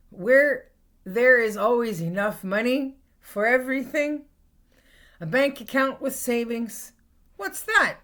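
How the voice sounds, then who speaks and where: A woman with a Canadian accent reading her own spoken-word poetry in an earnest, deliberate, dramatic way, in short phrases with pauses. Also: fairly high pitch, a bit bright. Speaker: poet reading own work.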